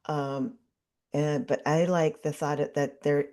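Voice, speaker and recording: Casting: deep-voiced woman podcast host, curious, thinks aloud with ums. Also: little pitch movement, slow pace, dark tone. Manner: curious, musing with many ums. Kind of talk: casual interview talk.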